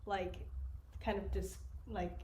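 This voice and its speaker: Slow, hesitant woman asking questions. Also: mid-range voice, flat delivery, a little mellow. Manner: hesitant, uses many fillers. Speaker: podcast host. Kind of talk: casual interview questions. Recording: echoey room with faint noise.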